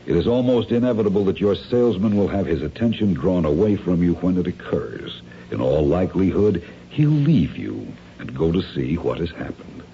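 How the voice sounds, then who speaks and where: A smooth, calculating villain with a very dark, low voice. He speaks in a measured, formal, condescending way with cool menace, as in a radio mystery. The recording is dull and hissy. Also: very animated, heavily acted. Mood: calmly instructing. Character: smooth, calculating male crime schemer, coolly menacing. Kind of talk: radio mystery drama acting.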